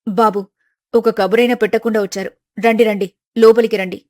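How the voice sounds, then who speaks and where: A woman storyteller puts on a flustered middle-aged mother in a family tale. She sounds worried and earnest, a little lower than a young heroine. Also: fairly even intonation, a little fast, a little mellow, dry recording, studio quality, natural voice. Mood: anxious, polite.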